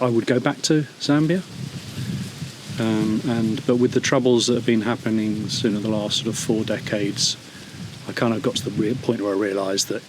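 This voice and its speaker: A man's voice: mid-range voice, slight background noise. A man in his fifties recalling his childhood, thoughtful and hesitant, with frequent fillers and restarts. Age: 50s. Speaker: interviewee sharing memories. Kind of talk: reflective personal testimony.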